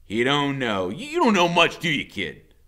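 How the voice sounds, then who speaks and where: A man playing a menacing, scornful tough guy, gruff and curt, in a storybook reading. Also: mid-range voice, huge pitch swings, moderate pace, fairly bright tone, pitched above the performer's own voice.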